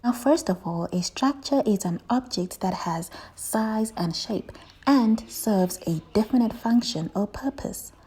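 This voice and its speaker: Woman as an eager, mellow-voiced dragon puppet teacher. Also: on the high side, animated, measured pace, husky. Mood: eager, instructive. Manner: repeats words, eager, explanatory. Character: eager, chatty dragon doctor puppet who teaches children. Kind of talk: educational puppet show for children.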